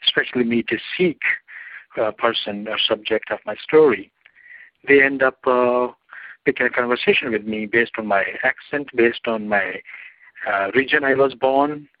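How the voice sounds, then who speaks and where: A man's voice: medium pitch, no echo, dull audio. A man from Pakistan with a Pakistani accent, telling his story as a journalist guest in an interview in long, winding sentences. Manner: non-native phrasing, long winding sentences. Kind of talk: interview answer, personal story.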